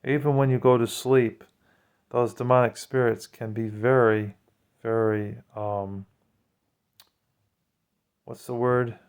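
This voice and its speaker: A man's voice: medium pitch, flat delivery, slow pace, pauses often, smooth. A man speaking casually and earnestly to viewers as a vlogger, giving admonishing religious testimony.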